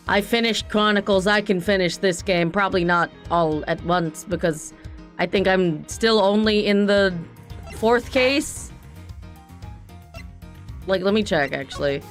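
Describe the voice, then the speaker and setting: A woman streamer with a lowish, slightly raspy voice, talking casually through a playthrough. She tosses off quick jokes and asides, and faint game music plays underneath. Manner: chatty, jokey asides to chat. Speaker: vtuber streamer. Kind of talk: playthrough commentary.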